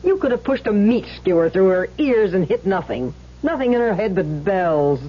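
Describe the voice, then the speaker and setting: A woman's voice: medium pitch, very animated, normal speed. A woman playing a gossipy, blunt suburban housewife in an old-time radio drama, chatty, exclamatory and scornful, on a muffled recording.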